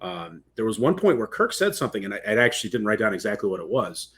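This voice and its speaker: A man with a husky, lowish voice talks quickly as a podcast co-host. He is conversational and agreeable, spinning out long casual explanations. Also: big pitch swings, fairly bright tone.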